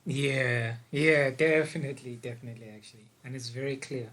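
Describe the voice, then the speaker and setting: A man with a medium-pitched, slightly raspy voice. He hosts a podcast, talking and asking questions in an informal, conversational way. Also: fairly even intonation, a little fast, a bit bright. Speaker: podcast host. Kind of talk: casual conversational interviewing.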